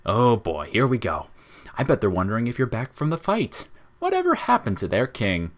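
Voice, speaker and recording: A taunting little flower creature, pitched well up from a low natural voice and swooping higher when it sneers. It is childish, theatrical and smugly mocking, made for audio fiction. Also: male voice, wildly swooping pitch, moderate pace. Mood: gleeful taunting. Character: taunting, smirking, childish talking flower monster, male.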